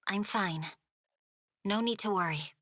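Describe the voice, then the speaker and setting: A woman's voice: medium pitch, measured pace, a bit bright, dry recording, studio quality. An actress playing a stoic, proud young noblewoman who wields a sword in a video game, speaking in a formal, composed, terse manner. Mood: cool, composed. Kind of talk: dramatic video game voice acting. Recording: music behind the dialogue.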